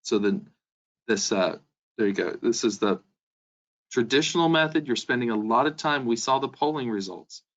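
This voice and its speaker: A man presents a business webinar in a mid-pitched voice. He explains things step by step and addresses the listener directly. The audio is dull and limited in range. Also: animated, normal speed. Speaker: software company presenter.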